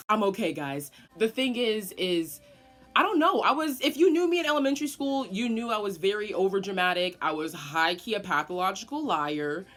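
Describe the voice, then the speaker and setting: An eighteen-year-old woman telling a dramatic storytime to her vlog viewers, swearing freely. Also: on the low side. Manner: animated, dramatic, swearing often. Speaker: vlogger. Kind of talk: casual storytime.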